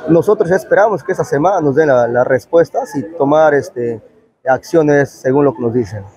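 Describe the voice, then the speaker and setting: A Peruvian man in a news interview, speaking as a local official. His voice is husky and mellow, a little high, and the lapel-mic recording is slightly dull. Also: normal speed. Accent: Peruvian Spanish. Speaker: town councillor being interviewed. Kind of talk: spoken news interview answer. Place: open covered market. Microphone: clip-on lapel microphone.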